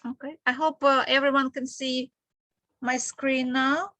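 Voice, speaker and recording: Bright, higher-pitched woman with Ukrainian accent. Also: steady tone, measured pace, no room sound. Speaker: university admissions outreach manager, presenter. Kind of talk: webinar presentation. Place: online video call with screen sharing.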